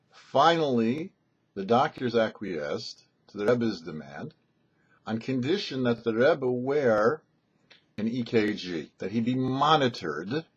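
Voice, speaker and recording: A man telling a story as a show host, in a measured, deliberate narration with dramatic pauses. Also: medium pitch, husky. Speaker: rabbi and radio storyteller, host. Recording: dull, muffled lo-fi recording.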